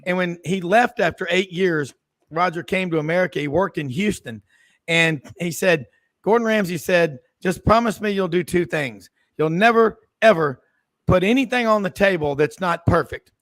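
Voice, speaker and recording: A man's voice: sits high, normal speed, fairly bright tone, dry recording. A man hosting a sports radio show, telling a story in a chatty, easygoing way and laughing often. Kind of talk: casual radio chat.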